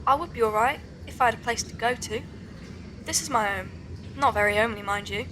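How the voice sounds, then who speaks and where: Over clearly audible background noise comes a woman acting a downtrodden young Victorian street beggar. Her voice is fairly high, timid and hesitant, in audio drama style. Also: fairly quick, a bit bright, natural voice. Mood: meek, forlorn. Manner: meek, hesitant.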